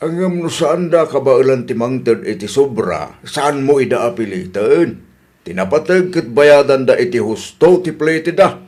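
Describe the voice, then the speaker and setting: A man's voice: mid-range voice, expressive, unhurried, darkish tone, lightly acted. A man with an Ilocano accent playing a reasonable husband who questions and reproves, in a comedy radio drama. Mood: reproving. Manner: remonstrating, questioning.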